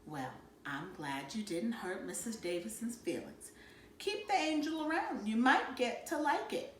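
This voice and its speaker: Woman playing a patient, reassuring storybook mother, lively intonation. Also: fairly high pitch, measured pace, reverberant, a little hiss or hum. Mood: soothing. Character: gentle, reassuring mother of three children.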